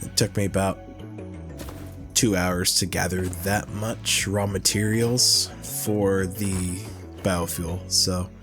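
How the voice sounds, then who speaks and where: A man with a cold-stuffed, nasal voice talks through his gameplay at a slow, unhurried pace, thinking out loud. His voice sits fairly low, and music plays clearly behind him. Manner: rambling, thinking aloud, many fillers. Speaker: gaming channel host. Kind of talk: casual gameplay commentary.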